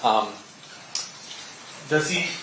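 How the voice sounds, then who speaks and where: Echoey, halting American male prosecutor. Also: a little high, expressive. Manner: halting, feeling for words. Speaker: prosecutor questioning a witness. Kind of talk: courtroom questioning. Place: courtroom. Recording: echoey courtroom, distant mic, clear noise.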